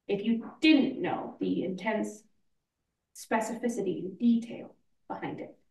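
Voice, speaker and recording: A woman playing a reflective painter looking back, speaking in measured short phrases with pauses, in a staged play reading. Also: mid-range voice, a little mellow, natural voice. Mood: musing, knowing. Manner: slow, measured, pausing between short phrases. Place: indoor room, live play reading. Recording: echoey room, far from mic.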